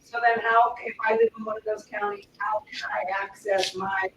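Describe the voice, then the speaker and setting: A woman's voice: mid-range voice, sing-song, normal speed, creaky. A woman in the audience asking a careful clarifying question. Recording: echoey room, distant mic, background noise.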